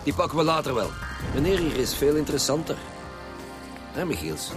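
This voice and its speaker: An actor playing a gruff, bossy Flemish police inspector in a radio drama, talking colloquially and urgently. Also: male voice, on the high side, very animated, a little fast, over music. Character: gruff, commanding male police inspector leading a homicide squad.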